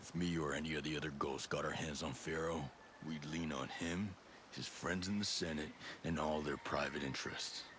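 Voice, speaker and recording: Gruff, extremely deep-voiced soldier. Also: male voice, steady tone, normal speed, noisy background. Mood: grave. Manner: blunt, matter-of-fact. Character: gruff, resolute special forces soldier. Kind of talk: cinematic game acting.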